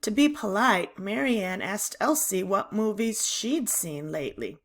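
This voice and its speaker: A woman reading a children's novel aloud in expressive, deliberate narration. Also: mid-range voice.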